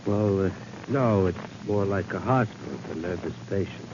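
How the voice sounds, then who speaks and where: Rough, sly underling in vintage radio drama; lowish voice, folksy wording, dull noisy old recording. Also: male voice, moderate pace. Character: rough, shady henchman at a sanitarium. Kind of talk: 1940s American radio acting.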